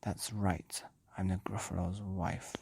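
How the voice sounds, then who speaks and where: A man voicing the Gruffalo's wife, a fierce, boastful monster mother who makes hushed, whispery threats. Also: low-pitched, little pitch movement, takes it slowly, heavily acted.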